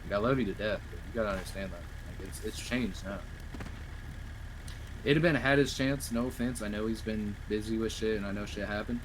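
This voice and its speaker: A man talks very quickly in a slightly low voice, pressing his points insistently and swearing as he argues in roleplay voice chat. There is faint noise behind him on the game chat. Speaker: roleplay gamer, faction leader. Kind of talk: casual argumentative voice chat during game roleplay. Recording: noisy game voice chat, vehicle sounds.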